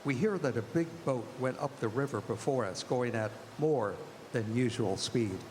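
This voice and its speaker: A man playing an earnest gentleman vampire hunter reading his clipped journal entries in a live radio drama. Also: medium pitch, moderate pace, close to an everyday voice. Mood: grave, urgent. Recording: reverberant stage hall, faint noise.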